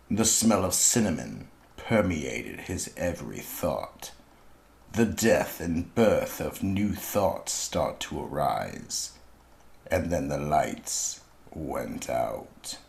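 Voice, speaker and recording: A man narrating a radio mystery drama in an ominous, deliberate storytelling manner. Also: very deep voice, creaky.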